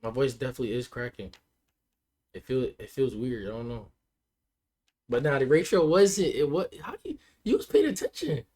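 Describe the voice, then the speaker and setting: A man livestreaming and chatting with his viewers in a laid-back, slangy way, his voice hoarse and cracking. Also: mid-range voice, lively intonation, normal speed, a little mellow. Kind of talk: casual chat with stream chat.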